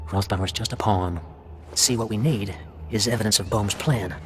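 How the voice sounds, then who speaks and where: An actor playing a pragmatic, commanding intelligence officer in a video game cutscene, matter-of-fact and insistent. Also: male voice, on the low side, moderate pace, close to an everyday voice. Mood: businesslike. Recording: music behind the voice.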